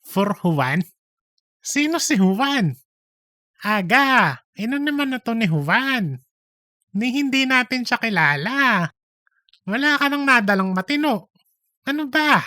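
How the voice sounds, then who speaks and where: A woman's voice: fairly high pitch, animated, slow pace, long pauses, bright, forceful tone, dry recording, studio quality, exaggerated voice. A woman voicing a grumpy, exasperated male office worker, whiny and complaining, in a comedic audiobook. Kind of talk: comedic audiobook character acting.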